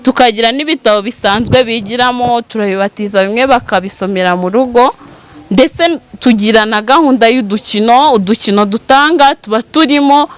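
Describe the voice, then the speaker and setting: A woman's voice: on the high side, normal speed. A woman from a school, steadily explaining its teaching methods in an interview. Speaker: school administrator. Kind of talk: interview statement.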